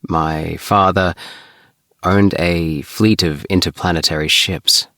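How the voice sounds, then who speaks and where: Man acting a halting, guarded young spaceman, very slow. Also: sits low, steady tone, pushed and bright, no room sound, clean studio sound, heavily acted. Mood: guarded, sad. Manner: halting, careful, subdued. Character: guarded, thoughtful young male human spaceman in disguise.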